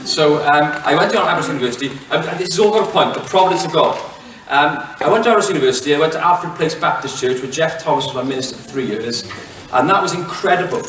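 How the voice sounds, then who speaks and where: A Welsh man in middle age talks to an audience in a relaxed, joking way, his voice a little high for a man. He stops and starts with many fillers. The sound is roomy and heard from a distance, with a faint hum behind him. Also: fast. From Wales. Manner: chatty, self-mocking, many fillers and restarts. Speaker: head teacher giving a talk. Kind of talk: relaxed public talk with jokes to the audience. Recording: echoey hall, mic from far off.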